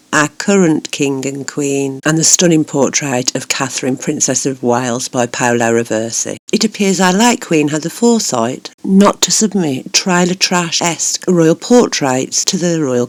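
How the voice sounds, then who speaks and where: A man co-hosting a royal news show, giving scripted commentary in a measured, formal, explanatory way. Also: on the high side.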